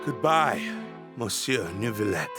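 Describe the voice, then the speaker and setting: A man playing a proud, anguished condemned officer in a video game, dramatic, drawn-out and betrayed. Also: lowish voice, animated, music in the background. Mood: resigned, bitter.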